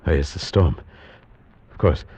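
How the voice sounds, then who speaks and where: An actor playing an earnest, nervous gentleman who narrates in a solemn, measured manner in an old-time radio drama. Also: extremely low pitch, breathy edge, full character voice. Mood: uneasy. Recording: muffled old radio recording with music.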